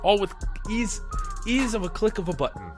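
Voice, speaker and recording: A man's voice: high-pitched, sing-song, normal speed, over music. A man hosting a solo podcast, reading aloud and then riffing in a casual, profane, rambling way. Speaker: podcast host. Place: small studio.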